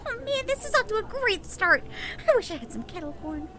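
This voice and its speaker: A woman playing a chirpy, excitable, cheeky little creature in an audio drama. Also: very high voice, a little fast. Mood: chirpy, excited.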